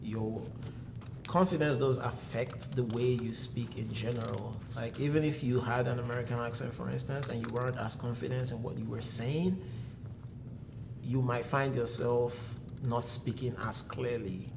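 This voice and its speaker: A young Nigerian man with an Igbo accent, calmly giving advice as a guest in a casual interview. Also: medium pitch, moderate pace, gravelly, background noise.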